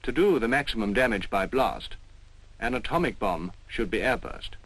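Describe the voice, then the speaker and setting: A man's voice: mid-range voice, lively intonation, normal speed, rough recording. A man narrating an instructional film in a formal, explanatory manner.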